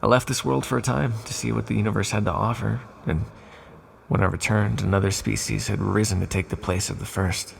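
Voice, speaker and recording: A man playing an ancient accursed living weapon in an ASMR roleplay, a calm, formal villain who is menacing yet tender and confides in hesitant, measured phrases. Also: on the low side, creaky, slight background noise. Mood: confiding, grave.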